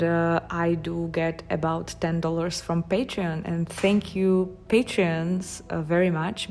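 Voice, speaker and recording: Slow, low-voiced Slovak woman. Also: darkish tone. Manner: halting, thoughtful, with frequent pauses. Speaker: watercolor artist and vlogger. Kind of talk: casual talk while painting.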